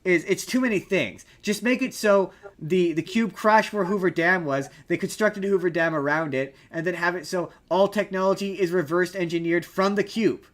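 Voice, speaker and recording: Male podcast co-host, high voice, casual but emphatic explainer who rambles and argues his point. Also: moderate pace, few pauses. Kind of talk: casual opinionated film discussion.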